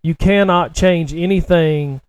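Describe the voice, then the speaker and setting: A middle-aged man with a Southern US accent, co-hosting a casual chat and haltingly repeating words as he goes. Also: fairly high pitch, smooth, dry recording. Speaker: podcast co-host. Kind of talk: casual chat about racing and rodeo.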